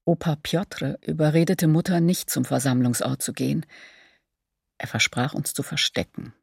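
A woman's voice: deep voice, normal speed, gravelly, a little mellow, slightly rough, dry recording, studio quality, heavily acted. An actress playing a female survivor soberly recalling her family in a documentary radio drama. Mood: sober, reflective.

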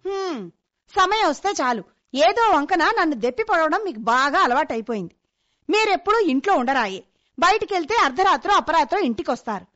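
A woman's voice: high voice, very animated, a little fast, a bit bright. A woman acting as a chatty middle-aged housewife who complains and answers back in a radio comedy play. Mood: aggrieved. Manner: complaining, retorting. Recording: dull, lo-fi radio play recording.

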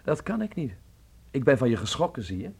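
An actor playing a young, ironic Dutchman in a radio drama, speaking articulately with a gravelly, husky voice. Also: mid-range voice, sing-song, a little fast, a little mellow, exaggerated voice. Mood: teasing. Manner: wry, articulate. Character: young, ironic, sensitive Dutchman living in 1930s Berlin. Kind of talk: radio drama acting and narration.

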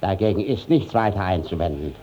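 Shifty, flustered village judge, low mellow voice. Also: male voice, expressive, a little fast. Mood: self-important. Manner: hesitant, stammering, obsequious. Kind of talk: classical German stage-style radio acting. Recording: dull 1950s radio drama recording.

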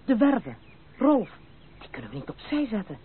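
Through a narrow, noisy old radio recording comes a proud young woman in love. She speaks with earnest drama and halting repetitions, as if wrestling with her conscience, in classic radio play manner. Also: on the high side, wildly swooping pitch. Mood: agitated, resisting. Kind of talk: Dutch radio play acting.

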